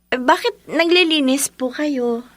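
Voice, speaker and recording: A woman's voice: high voice, no room sound, clean studio sound. An actress playing a polite, deferential young woman visiting a friend's house in a Filipino radio drama. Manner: courteous, deferential.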